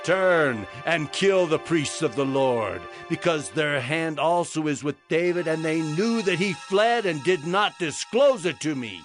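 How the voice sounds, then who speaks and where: Wrathful, slow-spoken king. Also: fairly high pitch, animated, over music. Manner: imperious, drawn-out, declamatory. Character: wrathful, accusing king of ancient Israel. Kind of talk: dramatized Bible audio acting.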